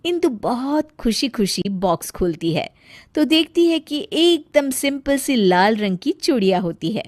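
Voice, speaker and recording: A woman's voice: on the high side, very animated, measured pace, no echo. A woman narrating a scripted cartoon family story.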